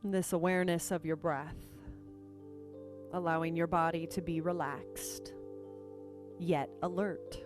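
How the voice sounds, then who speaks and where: Female meditation guide, lowish register, gentle and unhurried delivery with space between phrases, music underneath. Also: steady tone. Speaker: yoga and meditation teacher.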